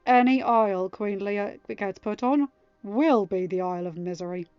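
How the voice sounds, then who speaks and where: A woman's voice: medium pitch, moderate pace, clear, resonant tone, no room sound, highs cut off, faint music behind, close to an everyday voice. Woman as a blunt, dry male councillor. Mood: blunt, firm.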